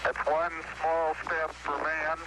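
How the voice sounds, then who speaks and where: Guy as solemn astronaut on radio, slow flat deliberate talk. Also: fairly high pitch, rough recording. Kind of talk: radio transmission delivery.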